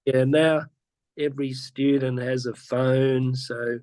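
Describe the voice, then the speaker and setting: A man's voice: mid-range voice, level tone, a little mellow, dry recording. A man, a teacher, patiently explaining classroom ideas in a casual online interview, often restarting his sentences. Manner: patient, slow, with frequent restarts and repeats. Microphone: video call.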